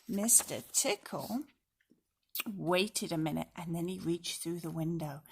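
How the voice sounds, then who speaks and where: A woman reading a children's storybook aloud in an expressive way, speaking directly to young listeners. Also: medium pitch, gravelly, slightly rough. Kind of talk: storybook read-aloud with casual asides.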